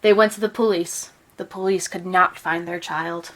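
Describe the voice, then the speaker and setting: A woman reading a children's picture book aloud, with expressive, deliberate storytelling phrasing. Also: on the low side, a little hiss or hum. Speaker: storybook reader.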